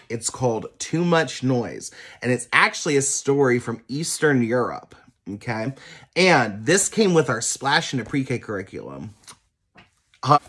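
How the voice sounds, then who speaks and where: Male children's story reader: very lively pitch swings, unhurried, emphatic delivery. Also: slightly rough. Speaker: teacher reading to young children. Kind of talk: expressive children's read-aloud.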